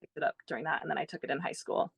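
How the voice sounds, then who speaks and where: A woman's voice: sits low, steady tone, rapid-fire, gravelly, slightly rough, no echo. A woman from California telling easy stories as a podcast guest. She talks in loose, rambling sentences full of fillers. The sound is dry and close. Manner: chatty, uses "like" and "yeah" a lot. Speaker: guest, sailor. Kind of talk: casual chat.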